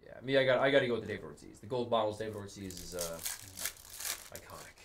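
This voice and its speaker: Young male host for a live card break, relaxed banter, calls out each card. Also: on the low side, fast talker, noisy background. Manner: casual, calls out cards, excited on big hits. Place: studio with card boxes.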